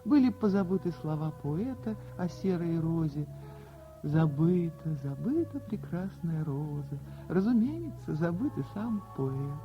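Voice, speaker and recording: A narrator reading a literary tale for a radio play in an expressive, dramatic way with deliberate pauses. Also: mid-range voice, lo-fi sound. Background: music.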